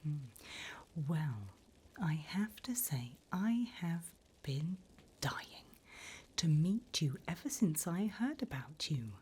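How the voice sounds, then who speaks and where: Slow, breathy whispering demoness played by a woman. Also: deep voice, sing-song, a little mellow, heavily acted. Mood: seductive welcome. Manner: slow, teasing, drawn-out, mock-formal. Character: sly, teasing demon interviewer of hell, gleefully wicked. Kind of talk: whispered ASMR roleplay.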